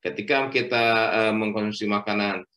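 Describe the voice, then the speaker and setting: A man's voice: lowish voice, steady tone. Middle-aged man lecturing with many fillers. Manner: speaks in measured phrases with many "eh" fillers and "ya" tags; addresses listeners warmly as friends. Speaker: doctor giving a health talk. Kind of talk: lecture at a religious study session.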